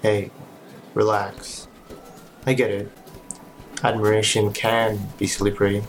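A man playing an easygoing, reassuring colleague in an audio drama, with a casual, understated, gently playful way of talking. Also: fairly low pitch, monotone, slow, slight background noise. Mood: sympathetic. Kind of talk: audio drama acting, naturalistic dialogue.

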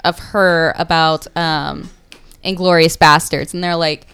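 Female co-host, clear smooth voice a little on the low side, enthusiastic and conversational. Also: fairly even intonation, measured pace, a bit bright. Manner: enthusiastic, engaged. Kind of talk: casual chat about movies.

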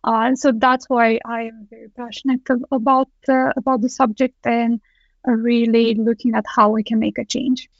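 A woman's voice: a little high, little pitch movement, moderate pace, no echo. Woman guest on a call. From Romania. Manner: thoughtful, with frequent restarts. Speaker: guest engineering director. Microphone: video call. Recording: dull, dry lo-fi call audio.

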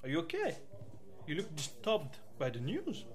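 An actor playing a firm but concerned shopkeeper in an audio drama. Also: male voice, fairly high pitch, huge pitch swings, normal speed. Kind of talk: radio drama acting.

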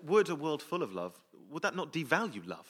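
A man's voice: a little high, big pitch swings, a little fast, fairly bright tone, husky. A man hosting a comic interview on a theatre stage, speaking hesitantly and with mock deference. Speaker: comedy show host. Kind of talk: comic interview before an audience. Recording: live theatre, faint noise.

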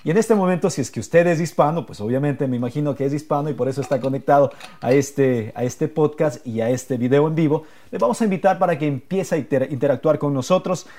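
Male podcast host, earnest and direct, warm mellow tone, slightly high pitch. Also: fast talker. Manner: earnest, speaks directly to viewers. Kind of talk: motivational podcast talk. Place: live streamed podcast.

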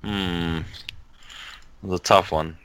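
A man's voice: sits low, fairly even intonation, slow, a bit bright, clean tone. A man giving plain, casual answers as a guest on a gaming podcast. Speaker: podcast guest and champion gamer. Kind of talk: casual chat.

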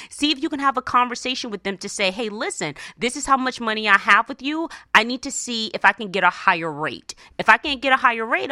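A woman's voice: medium pitch, sharp tone, no room sound, studio quality. A woman hosting a motivational advice show, speaking emphatically and colloquially, often checking in with short questions. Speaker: host of a money advice show. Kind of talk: motivational personal finance radio talk.

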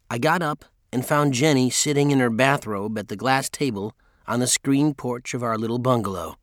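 Male narrator for memoir audiobook, mid-pitched, scripted read, dry recording. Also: no room sound.

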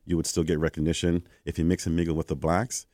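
A Canadian man, a guest in an interview, telling stories in a conversational way and acting out quoted exchanges with lively energy. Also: low voice, fast talker, dry recording, studio quality. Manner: animated, acts out quoted exchanges.

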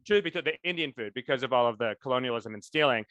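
A man's voice: medium pitch, lively intonation, fast talker, muffled recording, crowd noise. A man co-hosting a podcast in casual chat, rambling with lots of fillers and restarts. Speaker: podcast co-host.

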